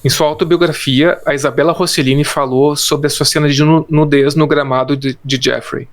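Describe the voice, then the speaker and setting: A man's voice: a little high, steady tone, brisk. A man co-hosting a casual film podcast, reading trivia and quotes from his notes with hesitant restarts. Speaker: podcast co-host.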